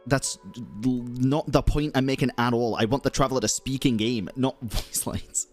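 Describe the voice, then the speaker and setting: Very low-voiced woman streamer. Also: fairly even intonation, normal speed, a little mellow, faint music behind. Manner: offhand, brief interjections. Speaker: game streamer. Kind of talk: casual gameplay reaction commentary.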